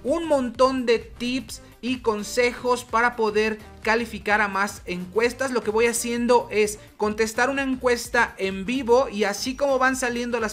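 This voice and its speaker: A woman, the channel host, calmly walking the viewer through a screen-recorded tutorial and speaking directly to them. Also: fairly high pitch. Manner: steady, explanatory, addresses viewer directly.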